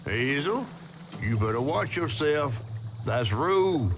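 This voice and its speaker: Game role: wary, folksy Southern male swamp companion, very animated delivery, noisy ambience behind. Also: fairly high pitch, slow pace. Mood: wary, urgent. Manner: folksy, drawling colloquial talk. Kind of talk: video game voice acting.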